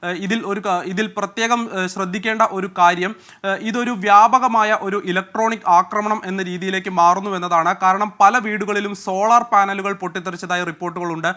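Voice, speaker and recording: Male desk reporter, high bright voice, live briefing with many hesitations. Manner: steady informative delivery with frequent hesitations. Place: news desk.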